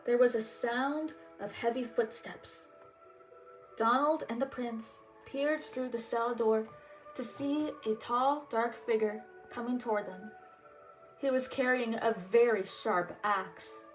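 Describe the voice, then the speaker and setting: Slow, higher-voiced woman reading a storybook. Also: soft background music, low-quality recording. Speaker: storybook reader and channel host. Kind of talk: storybook read-aloud narration.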